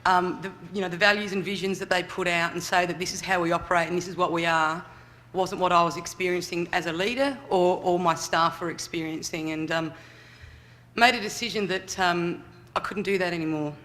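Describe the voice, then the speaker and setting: A man's voice: high-pitched, steady tone, brisk. A man who leads a youth services group, telling his story at a conference in a big, chatty voice with lots of fillers. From Australia. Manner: loud, chatty, many fillers. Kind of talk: conference talk. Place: conference hall.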